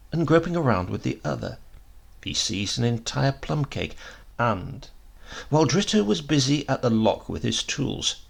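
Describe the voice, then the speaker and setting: Male audiobook narrator, heavy vocal fry, animated intonation, dramatic scripted storytelling, deliberate emphasis. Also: medium pitch.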